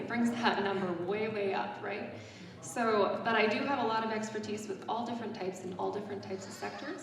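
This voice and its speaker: Loud chatty woman doing a conference talk on a little mic, echoey hall, crowd noise. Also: mid-range voice, recorded from a distance. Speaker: grant writing consultant. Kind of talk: conference presentation. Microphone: small handheld microphone.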